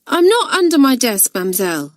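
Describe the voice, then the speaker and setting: A mischievous schoolgirl, as a woman reader puts her on, pleading innocence in a high, thin voice. She sounds plaintive and wronged while playing a prank. The sound is dry. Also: big pitch swings, fast talker, no echo.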